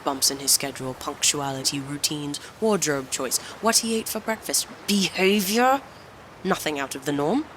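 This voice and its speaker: A stern captain in an audio drama, questioning subordinates with clipped, impatient authority. The voice is bright and forceful, with faint ambience behind it. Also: female voice, deep voice, moderate pace, a little hiss or hum, poor audio. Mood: probing, impatient. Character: stern, probing military captain, impatient commanding officer. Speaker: voice actor.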